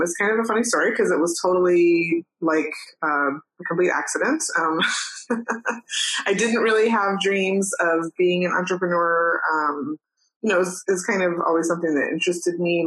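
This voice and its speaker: A woman in her thirties from New Mexico, talking casually as a podcast guest, chatty with many "um"s and soft laughs. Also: on the low side, low-quality recording. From New Mexico, USA. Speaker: guest, photographer and educator. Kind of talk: casual interview chat.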